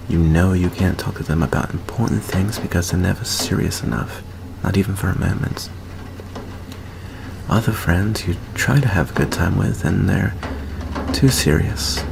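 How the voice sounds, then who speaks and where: A man playing an affectionate, anxious young student in an ASMR roleplay, speaking softly and hesitantly in an intimate hush. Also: low voice, creaky, background noise. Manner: soft, hushed, hesitant, intimate. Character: anxious, affectionate young male vampire student, sentimental best friend.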